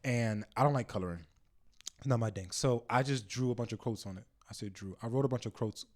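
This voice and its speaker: A man's voice: medium pitch, fairly quick. Young male podcast guest, non-native English, mid-range voice, loose slangy delivery. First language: not English. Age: young adult. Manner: loose, slangy, profane, trails off with fillers. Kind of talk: casual chat.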